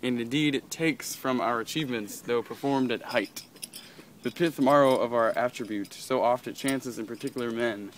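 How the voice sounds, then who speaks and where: A man's voice: mid-range voice, normal speed, lightly acted. Man acting a brooding prince, noisy recording. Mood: scornful, musing. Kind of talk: amateur stage reading of Shakespeare. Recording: some background noise.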